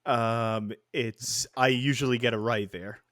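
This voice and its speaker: A mid-pitched man, close and dry on the mic. He rambles through his stories, stumbling and restarting, and keeps breaking into laughter. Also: steady tone, unhurried, fairly bright tone, no echo. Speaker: podcast co-host. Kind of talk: casual podcast chat.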